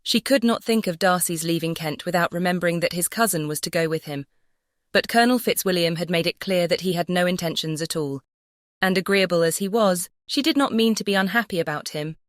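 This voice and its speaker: A woman's voice: on the low side, fairly quick, dry recording, studio quality. A woman narrating a classic novel as an audiobook, composed and carefully phrased. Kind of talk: scripted literary narration.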